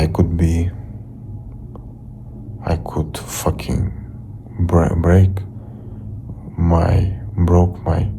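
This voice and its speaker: A young man with a Russian accent, a native Russian speaker, telling a story from his bedroom in halting English, repeating and restarting his words. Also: low voice, background noise. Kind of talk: casual storytelling to camera.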